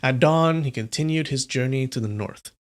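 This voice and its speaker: A man's voice: medium pitch, moderate pace. A young man from California reading a novel aloud on a livestream, chatting casually with viewers between passages.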